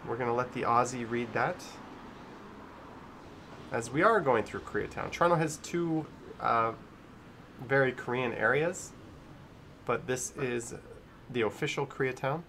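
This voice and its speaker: A man's voice: mid-range voice, measured pace, pauses often, sharp tone, slight background noise. A man hosting a casual livestream, chatting informally and reading out viewer messages as he comments. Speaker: livestream host.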